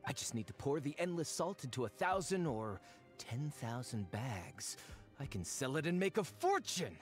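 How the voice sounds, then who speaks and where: A man's voice: mid-range voice, sing-song, normal speed, natural voice. An actor playing a greedy, pompous, blustering man who sponsors a treasure hunt in an anime game, with a theatrical delivery. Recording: music behind the voice.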